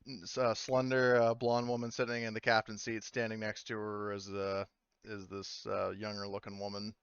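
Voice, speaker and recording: A man improvising narration as game master of a tabletop game, in a halting, informal way. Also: on the low side, completely flat delivery, fairly bright tone, clear, resonant tone, dry recording, lo-fi sound.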